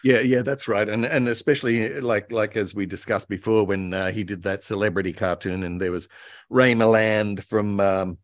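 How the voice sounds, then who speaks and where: A man's voice: on the low side, fairly even intonation, normal speed, no echo, studio quality. An older man talking anecdotally as an interview guest, recorded over a video call from a room at home. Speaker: guest author and voice actor. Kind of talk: enthusiastic anecdotal interview talk about old radio and cartoons.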